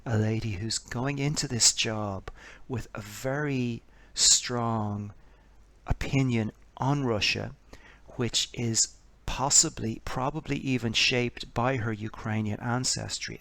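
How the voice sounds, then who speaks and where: A man giving scripted political commentary in a measured, explanatory way, posing a string of rhetorical questions. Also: mid-range voice, creaky, fairly bright tone.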